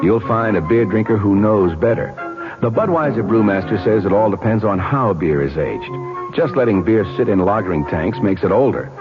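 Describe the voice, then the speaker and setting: A man reading a scripted radio commercial in a smooth, persuasive manner. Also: lowish voice. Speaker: commercial announcer. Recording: muffled old radio broadcast with music.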